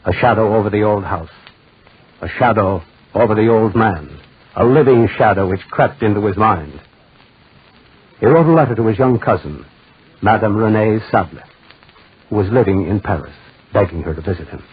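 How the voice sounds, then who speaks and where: An actor playing a grave, dramatic storyteller narrating an eerie 1940s radio drama. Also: male voice, fairly low pitch, slightly rough. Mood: ominous. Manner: dramatic, deliberate. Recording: muffled, tinny 1940s radio recording.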